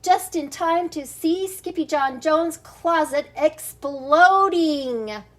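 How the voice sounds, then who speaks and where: An older woman reads a children's story aloud in a high voice. She takes her time, narrating expressively and now and then stumbling or chuckling over the words. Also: takes it slowly, fairly bright tone. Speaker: storybook reader.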